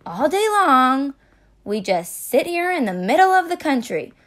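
A woman's voice: high voice, big pitch swings, fairly bright tone, full character voice. Woman voicing a dramatic, bored cartoon state, Kansas. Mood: bored, frustrated. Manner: dramatic, drawn-out emphasis.

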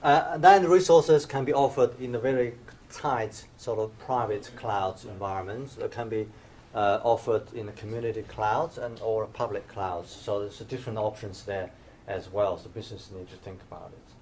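Low-voiced man giving a hesitant lecture. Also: moderate pace, a little mellow, slight background noise. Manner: hesitant, many fillers and restarts. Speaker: invited speaker, professor. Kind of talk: conference lecture. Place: dim lecture room, standing by projector screen.

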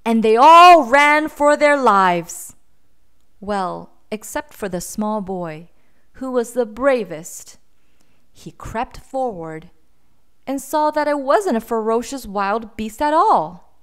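A woman reading a children's storybook aloud in an expressive, dramatic, deliberate style. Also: fairly high pitch, clear, resonant tone. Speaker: storybook reader.

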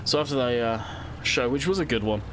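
A man's voice: mid-range voice, a little fast, noisy background. Congested young man chatting over gameplay. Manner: chatty and rambling, thinking aloud while playing. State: congested from a cold. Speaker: gaming channel host. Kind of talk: casual let's-play commentary.

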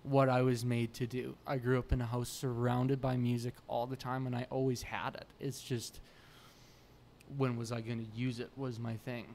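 A young adult man, a musician guest in a podcast interview, talking earnestly in animated bursts with many restarts, at a table mic in a small studio room. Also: medium pitch, normal speed, creaky, a little hiss or hum. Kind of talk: casual interview chat. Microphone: boom mic on the table.

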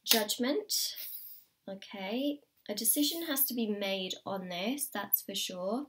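A woman giving a tarot reading to the viewer, her voice slightly croaky, encouraging and repeating key phrases. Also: mid-range voice, slow pace, a bit bright, recorded from a distance, slight background noise, rough recording. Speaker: tarot reader. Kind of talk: pick-a-card tarot reading to camera. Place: indoors at a table.

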